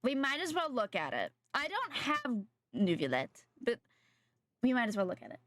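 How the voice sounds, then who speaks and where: A woman's voice: a little high, wildly swooping pitch, moderate pace, a bit bright, no echo. A young adult woman streaming a game, chatting casually with viewers in a slightly nasal voice from a sore throat, rambling and correcting herself. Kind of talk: casual live-stream chat while gaming.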